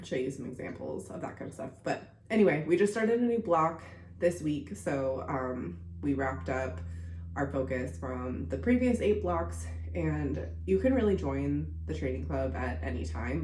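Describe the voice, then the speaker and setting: A woman's voice: sits low, a little mellow, reverberant, slight background noise. A young woman hosting her own podcast, chatting casually with lots of fillers and sounding congested from a recent illness. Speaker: podcast host and contortion coach. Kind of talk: casual solo podcast monologue.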